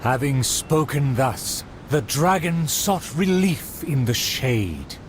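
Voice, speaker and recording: A stately narrator telling a theatrical tale in a game. His voice is mid-pitched and very unhurried, with dramatic rises and falls and formal wording. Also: expressive, slight background noise. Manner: measured, formal, dramatic storytelling. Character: stately male narrator of a storybook-like play.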